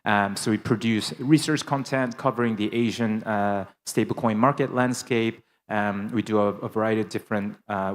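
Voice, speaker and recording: Male panelist with a lowish voice, smooth business pitch peppered with ums. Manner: fluent business talk with frequent fillers. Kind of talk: conference panel introduction. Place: conference hall panel.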